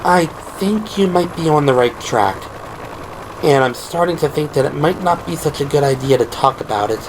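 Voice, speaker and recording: Man voicing a guarded young policewoman, pitched upward. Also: animated, moderate pace, noisy background, natural voice. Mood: guarded, confiding. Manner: hushed, cautious, confiding. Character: young woman police officer, guarded, quietly anxious.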